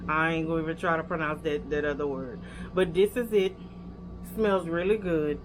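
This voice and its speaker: A woman's voice: deep voice, fairly even intonation, moderate pace. Young woman w a louisiana accent rambling thru hair product reviews, lots of ums, faint hum behind her. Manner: chatty, rambling, talks straight to viewers with lots of fillers. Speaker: hair care vlogger. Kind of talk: casual product review talk.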